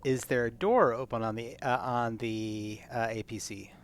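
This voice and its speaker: A man's voice: medium pitch, steady tone, normal speed, slight background noise. Casual man playing a tabletop game. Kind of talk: casual chat while playing. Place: live online game stream.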